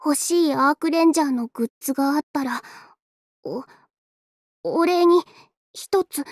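A woman's voice: high-pitched, moderate pace, dry recording, clean studio sound, lightly acted. A woman voicing a shy, self-effacing young android fighter in an anime game, hesitant and earnest, with a tendency to stammer. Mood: flustered, bashful. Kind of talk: Japanese anime game voice lines.

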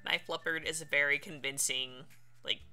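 A game streamer giving casual, playful let's play commentary with lively reactions. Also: medium pitch, normal speed. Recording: game music behind.

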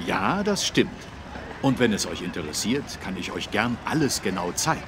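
A man playing a friendly policeman who courteously and clearly explains things to children in a learning game. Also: lowish voice, very animated, moderate pace, noisy background. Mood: cheerful, welcoming. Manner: instructive, courteous, clearly enunciated. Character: friendly adult male policeman explaining traffic rules to children.

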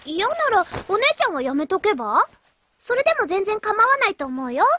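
Very high, breezy younger sister. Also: female voice, big pitch swings, a little fast, background noise. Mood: matter-of-fact. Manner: casual, matter-of-fact, needling. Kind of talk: anime audio drama acting. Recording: dull lo-fi audio. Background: music.

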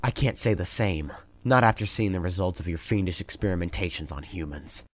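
A man's voice: low-pitched, brisk, a little hiss or hum, lightly acted. A man playing a stern, brooding doctor in an anime dub, intense and accusatory in his delivery. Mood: cold, demanding. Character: stern, brooding male doctor, indignant and morally driven. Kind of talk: dramatic anime dub acting.

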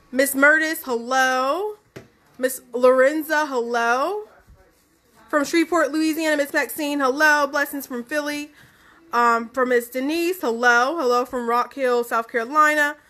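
A young woman co-hosting a home cooking stream, reading out viewer greetings in a friendly, steady way. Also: high voice, a little slow. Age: young adult. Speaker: co-host reading viewer comments. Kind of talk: reading out live chat greetings. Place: home kitchen, indoors.

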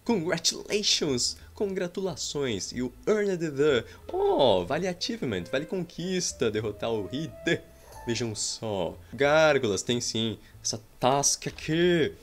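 A man's voice: mid-range voice, big pitch swings, slow. A man giving playful, casual commentary over a game, adding his own combat sound effects, recorded at a home desk mic. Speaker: gaming YouTuber. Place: small room at home.

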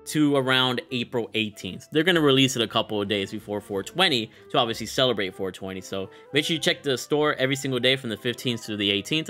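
Bright-voiced enthusiastic young man, gaming commentary. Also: medium pitch. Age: young adult. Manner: slangy, enthusiastic. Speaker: gaming content creator and host. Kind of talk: casual excited game bundle showcase commentary.

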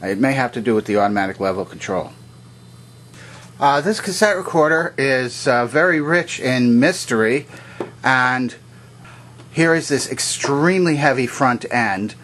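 A man with a medium-pitched voice narrates a hands-on technical demonstration. He talks without a script, pointing out each part methodically. His delivery is plain and instructional. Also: expressive, a little slow, fairly bright tone, a little hiss or hum. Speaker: presenter of vintage electronics demonstrations. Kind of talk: unscripted explanatory demonstration narration.

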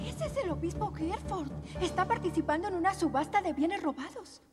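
A woman's voice: very high-pitched, lively intonation, brisk. A woman voicing a brave, headstrong young noblewoman who speaks earnestly in a cartoon adventure. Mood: wary surprise. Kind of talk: dubbed animated adventure acting. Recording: long reverb, clear music behind.

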